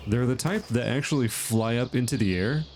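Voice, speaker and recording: A man's voice: mid-range voice, normal speed, a little mellow, slight background noise. A man hosting a casual gameplay video, chatting and thinking aloud with lots of fillers while his nose is stuffed up from allergies. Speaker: gaming channel host.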